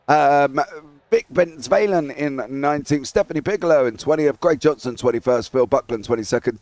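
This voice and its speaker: A hoarse English man commentating a sim race with a raspy, husky voice, getting loud and excitable as the action starts. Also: a little high, dry recording. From England. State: hoarse from broadcasting.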